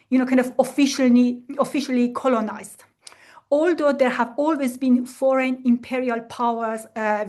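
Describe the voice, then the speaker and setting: A woman from Iran giving a lecture with slides, speaking in a measured way with many fillers. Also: high-pitched. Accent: Iranian.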